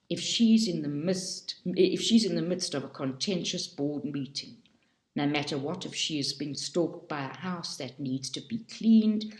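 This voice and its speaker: A woman reading a book aloud for a study group, slowly and carefully, stopping often to correct herself. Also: sits low, darkish tone, reverberant. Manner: slow, careful reading with frequent self-corrections.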